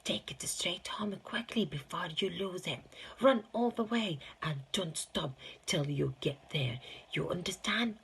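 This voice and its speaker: A woman voicing a bossy but kindly, heavyset male shopkeeper in a children's storybook, husky and firm. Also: fairly low pitch, measured pace, a bit bright, pitched above the performer's own voice, full character voice. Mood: urgent hush. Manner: firm, protective.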